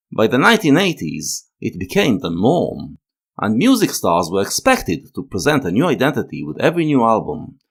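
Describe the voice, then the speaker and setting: Male essay narrator, lowish voice, highly varied intonation, explanatory, measured phrasing. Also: a bit bright. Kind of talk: scripted analytical narration.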